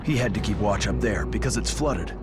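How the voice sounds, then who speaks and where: A gruff rabbit hero of an action game, voiced by a man. He is stern and sparing with words, low in pitch, with music clearly behind him. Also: lively intonation, brisk, lightly acted. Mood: businesslike. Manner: curt, stern, stoic. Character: gruff, terse rabbit war veteran hero. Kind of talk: video game cutscene voice acting.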